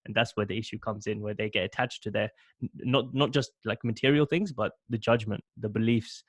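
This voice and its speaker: A man's voice: fairly low pitch, steady tone, fairly quick, dry recording. A man in his thirties hosting a podcast, asking thoughtful questions with stammering restarts into a close studio mic. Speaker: podcast host. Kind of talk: interview questions. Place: small room at home.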